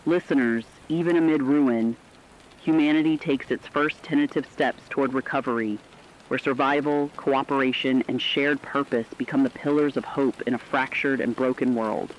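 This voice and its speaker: An earnest male war correspondent played by a man comes through in dull, lo-fi radio sound. His mid-pitched voice gives a scripted report, formal and measured. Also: steady tone, slight background noise, lightly acted. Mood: grave, earnest.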